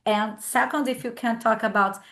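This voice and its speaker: A woman hosting an English conversation class over a video call, polite and explaining in slightly halting English. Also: a little high, steady tone, fairly bright tone. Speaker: English teacher and host.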